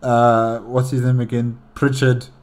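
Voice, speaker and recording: A man giving casual, off-the-cuff commentary as a reaction host, in a reflective, halting manner. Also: medium pitch, little pitch movement, darkish tone, faint music behind.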